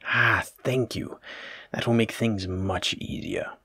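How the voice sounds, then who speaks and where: Low, courteous plague doctor played by a man. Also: slow pace, pushed and bright, slightly rough, no echo, exaggerated voice. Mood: gently attentive. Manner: formal, polite, reassuring, wordy. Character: courteous, learned male plague doctor of olden times. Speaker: physician examining a patient. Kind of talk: soft-spoken roleplay audio drama, addressing the listener.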